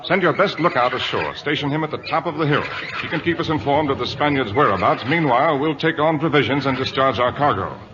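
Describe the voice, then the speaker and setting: A self-possessed British naval captain played by a man speaks in an old radio drama. His delivery is clipped and commanding, swinging widely in pitch. The recording has the dull sound of an aged broadcast. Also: expressive, brisk, few pauses, background noise, lightly acted. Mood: urgent.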